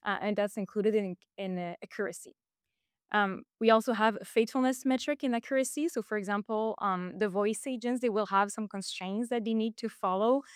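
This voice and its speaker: Quebecoise woman guest, French native, smooth dry close voice, explains with ums and restarts. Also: medium pitch, moderate pace, no room sound. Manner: explanatory with frequent fillers and restarts. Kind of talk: casual interview.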